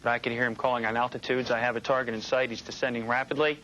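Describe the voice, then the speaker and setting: An American man recalls events as an interviewee. His voice is mid-pitched with very little rise and fall, over faint background noise. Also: level tone, very fast, fairly bright tone, poor audio. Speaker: air traffic controller interviewee. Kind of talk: first-hand recollection in interview.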